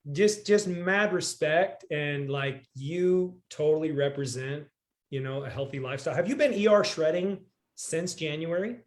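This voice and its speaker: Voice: medium pitch, normal speed. A host of a community call who keeps encouraging and affirming the guest, chatting casually over a video call.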